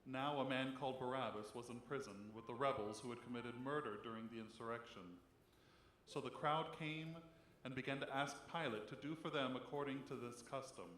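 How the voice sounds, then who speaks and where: A man's voice: medium pitch, fairly quick, a bit bright, a little hiss or hum. A man reading scripture aloud as a narrative dramatic Bible reading. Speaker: scripture reader. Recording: echoey church, music behind.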